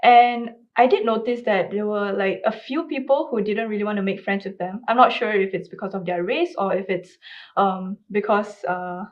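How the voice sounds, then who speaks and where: A woman's voice: mid-range voice, moderate pace. A young woman, a panel guest casually sharing personal memories. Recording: slightly dull, muffled sound.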